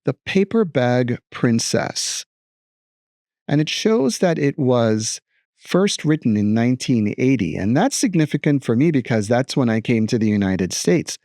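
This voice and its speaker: Male teacher, middle-aged, mellow tone, lively intonation, read-aloud with wry, expressive commentary. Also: mid-range voice, no echo, clean studio sound. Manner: expressive, deliberate emphasis with wry asides.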